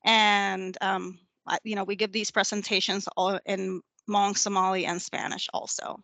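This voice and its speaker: A woman's voice: medium pitch, level tone, normal speed, a bit bright, no echo. A woman lawyer giving an informal talk on tenant rights, with frequent ums and restarts. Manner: informal, many fillers and restarts. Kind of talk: educational presentation.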